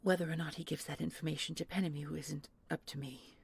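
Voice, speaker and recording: Casting: actress for a deposed female spy commandant, lowish and very husky, curt, defensive, bitter, sci-fi audio drama. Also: fairly even intonation, fast talker, heavily acted. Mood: guarded, bitter. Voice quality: husky, breathy, gravelly. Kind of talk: audio roleplay acting.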